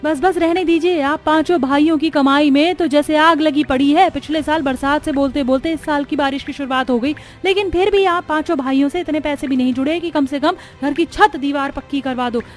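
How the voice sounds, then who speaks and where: A woman's voice: high-pitched, brisk, few pauses, soft background music, voice pushed up, natural voice. A woman voicing an exasperated, practical young village daughter-in-law in a cartoon story, complaining emphatically. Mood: angry, taunting. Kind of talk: Hindi cartoon story acting.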